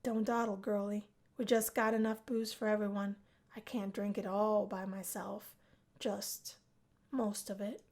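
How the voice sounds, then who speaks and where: A woman voicing a lively, teasing college girl in a visual novel, with a brash manner. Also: medium pitch, a little slow. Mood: playful teasing.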